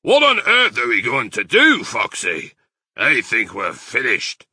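A man voicing an excitable, despairing badger father in a children's storybook, blustering and emphatic. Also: mid-range voice, measured pace, breathy edge, no echo, clean studio sound, exaggerated voice. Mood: frantic, despairing. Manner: blustering, agitated, emphatic delivery.

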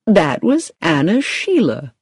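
A woman reader plays a kindly, grey-haired American gentleman in a storybook. The voice is low and unhurried, courteous, a little husky, and dry. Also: wildly swooping pitch, no room sound, full character voice.

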